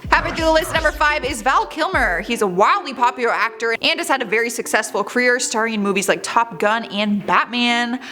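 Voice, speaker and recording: A woman's voice: brisk, hardly any pauses, faint music behind. Chatty young woman hosting a countdown. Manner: chatty, candid asides, lively swoops in pitch. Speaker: host. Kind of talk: scripted countdown commentary.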